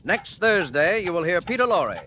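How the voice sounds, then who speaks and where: Bright, crisp old-time radio announcer. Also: male voice, high-pitched, sing-song, moderate pace, slight background noise. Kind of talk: 1940s radio show credits. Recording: old radio broadcast with music.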